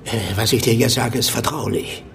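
An actor playing a gruff, bossy police major in a radio drama, blunt, stern and commanding. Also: male voice, mid-range voice, fairly even intonation, moderate pace, a bit bright, slight background noise. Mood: stern, confidential.

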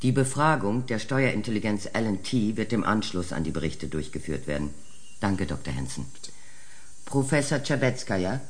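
A man's voice: on the low side, expressive, normal speed, close to an everyday voice. An actor playing a composed, formal commission chairman in a science fiction radio play, firm and dryly courteous.

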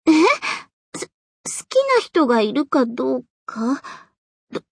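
A woman voicing a shy, earnest and flustered high school girl in a Japanese game, speaking politely, modestly and hesitantly with stammers. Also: measured pace, creaky, breathy edge, no room sound, heavily acted. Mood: flustered, pouting. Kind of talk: Japanese game character voice acting.

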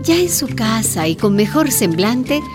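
A woman's voice: on the high side, sing-song, measured pace, music in the background. A woman narrator delivering scripted storytelling for a radio drama.

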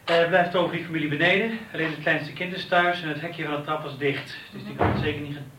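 A man's voice: a little high, fairly even intonation, fast talker, lightly acted. A man playing a wry, matter-of-fact police detective in a naturalistic radio drama. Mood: dry, matter-of-fact. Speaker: actor in a radio play. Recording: roomy, distant, noisy radio play recording.

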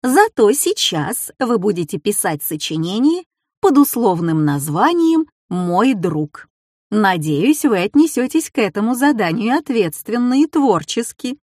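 A woman voicing a composed, authoritative schoolteacher in a children's story, with measured, instructive delivery. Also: mid-range voice, very animated, a little mellow, dry recording, clean studio sound.

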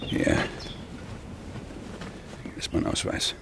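A man's voice: very slow, background noise. A man playing a brusque police detective in a radio crime drama, putting direct questions.

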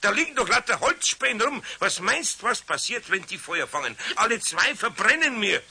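An actor playing a strict, grumbling older master carpenter with a Bavarian accent and rough voice in a children's radio play. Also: male voice, high voice, very animated, moderate pace, pushed and bright, full character voice. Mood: angry scolding.

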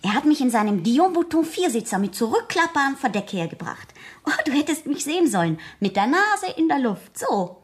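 An actress playing a naive, lively teenage girl in a radio play, chattering eagerly and impulsively. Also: high-pitched, brisk, few pauses. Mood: flustered, excited. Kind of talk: 1970s radio play acting.

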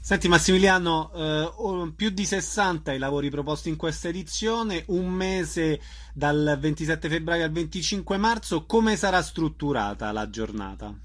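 A man's voice: fairly high pitch, lively intonation, moderate pace, a bit bright. A man hosting a conversational radio interview, putting questions to guests.

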